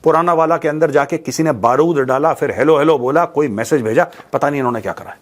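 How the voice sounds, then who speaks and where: Blunt Indian man with a higher-pitched voice. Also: quick pace. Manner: blunt, colloquial, mocking. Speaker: commentator and show host. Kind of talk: informal news commentary to camera, mixing Hindi and English.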